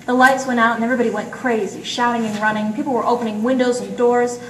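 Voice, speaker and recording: Woman playing a higher-voiced 1940s noir sidekick, echoey. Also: fairly even intonation, fast talker, natural voice. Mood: alarmed. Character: detective's sharp, streetwise 1940s female sidekick. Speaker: amateur stage actor. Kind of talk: 1940s radio noir acting. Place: live presentation in a roomy gallery. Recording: echoey room, distant mic, faint noise.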